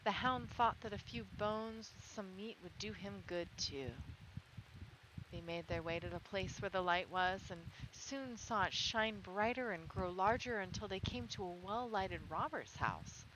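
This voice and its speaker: A woman's voice: mid-range voice, measured pace. A woman who hosts a stream, reading storybook tales aloud and speaking casually to listeners. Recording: clearly noisy background with clicks.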